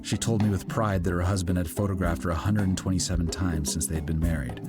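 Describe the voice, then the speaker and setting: A man reading literary fiction aloud as an audiobook narrator, in a scripted storytelling style. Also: low-pitched. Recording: music behind the voice. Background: background music.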